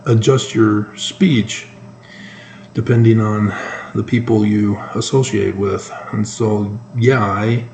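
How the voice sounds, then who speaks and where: A man with a North American accent giving informal, sarcastic news commentary, halting often mid-sentence. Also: on the low side, steady tone, gravelly, a little mellow. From North America, moved around the United States and Canada. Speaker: solo commentator. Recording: echoey room with faint background noise.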